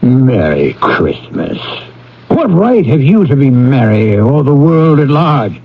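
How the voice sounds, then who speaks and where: A raspy, bitter old miser who snaps and grumbles with contempt. His pitch swings widely from line to line. It is old radio drama, on a dull lo-fi recording. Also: very animated, slow, full character voice. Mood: scornful. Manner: scornful, snappish, grumbling. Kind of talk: classic radio drama acting.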